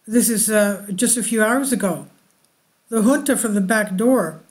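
A woman hosting her own channel, reading a translated news article aloud slowly and deliberately, with asides to her viewers. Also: mid-range voice.

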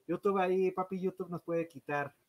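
Higher-voiced Mexican man hosting a live podcast. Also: steady tone, moderate pace, lo-fi sound. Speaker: podcast host. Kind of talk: casual live-stream hosting, reading viewer comments.

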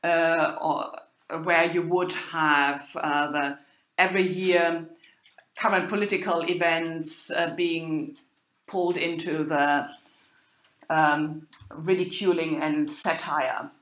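A woman lecturer giving an unhurried talk, pausing often with ums and uhs. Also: deep voice, steady tone, a bit bright, reverberant, low-quality recording. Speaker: university lecturer. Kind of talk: lecture on medieval drama.

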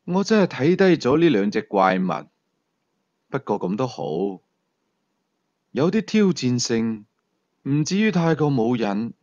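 A man voicing a cool, confident male sleuth in an audio thriller, low and hushed, with slow, deliberate delivery. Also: big pitch swings, no room sound, lo-fi sound. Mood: wry, detached.

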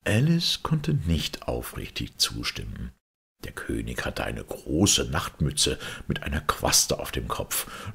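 A man narrates a storybook expressively at an unhurried pace. His voice is low and very husky, with wide, animated intonation. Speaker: audiobook reader.

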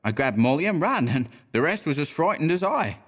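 A man's voice: on the high side, big pitch swings, brisk, breathy edge, pitched above the performer's own voice. Man doing a Cockney bellboy, eager and deferential. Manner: deferential, keeps saying sir. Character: young Cockney bellboy, nervous and boastful.

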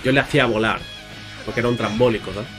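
A man's voice: lowish voice, steady tone, quick pace. A man giving casual, chatty video game commentary, speaking informally and directly to his viewers with playful exclamations. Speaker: gaming YouTuber. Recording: music under the voice. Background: music.

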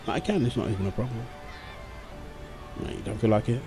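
A man's voice: mid-range voice, animated, a little fast, music in the background, natural voice. A man playing a doting young husband and new father, tender and playful, in an ASMR boyfriend roleplay. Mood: easygoing, caring.

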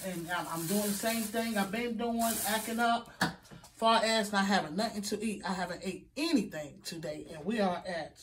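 A woman's voice: mid-range voice, moderate pace. Middle-aged woman chatting to viewers in her kitchen, phone sitting back on the counter, kinda far off, pan sizzling behind. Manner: chatty, talks to viewers, many fillers and "okay? guys". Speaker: vlogger. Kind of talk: casual grocery haul vlog. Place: home kitchen, indoors. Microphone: phone camera on counter, a few feet off. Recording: distant phone mic with background noise.

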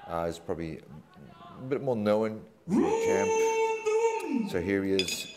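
A man's voice: medium pitch, huge pitch swings, takes it slowly. A man doing casual live sports commentary in a jokey, conversational way. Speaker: fight commentator.

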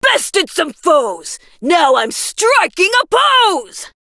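A woman's voice: very high voice, big pitch swings, very slow, vocal fry, pushed and bright, no echo, exaggerated voice. A woman voicing a brash, boastful young thunder goddess for a video game, throwing out fierce, theatrical battle one-liners. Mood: cocky, boastful. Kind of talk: video game character voice lines.

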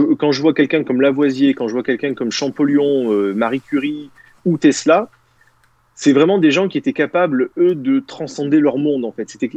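A man in his thirties speaks over a video call from a small room. He rambles, circling back with fillers and restarts while gesturing. His voice sits at a medium pitch, and the sound is dry and close. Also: a little mellow, no room sound, dull audio. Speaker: guest. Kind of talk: casual video-call discussion. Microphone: video call, webcam.

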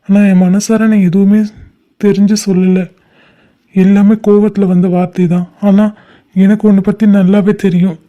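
A man's voice: sits high, lo-fi sound, close to an everyday voice. A young man playing a heartbroken lover, speaking with a Tamil accent, pleading and crying. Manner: pleading, tearful and despairing. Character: lovesick young man, grieving and desperate. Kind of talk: short-film drama acting.

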